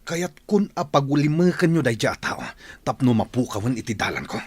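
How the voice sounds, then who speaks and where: An actor playing a scheming, envious, rich village boss in an Ilocano radio drama, irritable and domineering, with an Ilocano accent. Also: male voice, mid-range voice, sing-song, moderate pace, slightly rough, no echo. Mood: menacing insistence.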